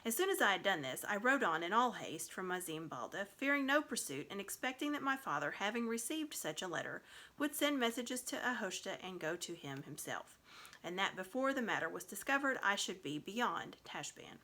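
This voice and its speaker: Proud young noblewoman in a storybook, played by a female reader: haughty, formal storyteller, bright tone, animated melody. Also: medium pitch, normal speed, exaggerated voice. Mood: solemn, composed. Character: proud, cool young noble girl telling her tale. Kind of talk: storybook read aloud.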